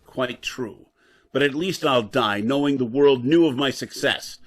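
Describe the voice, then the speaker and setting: A man's voice: mid-range voice, measured pace, lightly acted. A man playing a proud, indignant scientist in an old-time radio drama, formal and emphatic. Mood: resolute.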